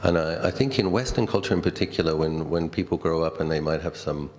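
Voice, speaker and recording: Casting: middle-aged Australian male, extremely low register, Buddhist teacher sharing personal anecdotes conversationally and reflectively, light background noise. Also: fairly even intonation, fast talker, darkish tone. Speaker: Buddhist monk giving a talk. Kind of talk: dhamma talk with personal storytelling.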